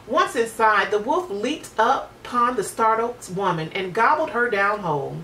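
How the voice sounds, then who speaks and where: A woman's voice: on the high side, lively intonation, moderate pace, sharp tone. A woman narrating a children's storybook expressively. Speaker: storybook reader. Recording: roomy, distant mic with faint noise.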